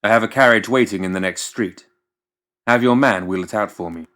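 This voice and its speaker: Guy doing a mysterious cloaked client for an audiobook, lowish voice, formal and curt. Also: fairly quick, fairly bright tone, natural voice. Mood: calm command. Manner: formal, clipped. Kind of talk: audiobook character voice.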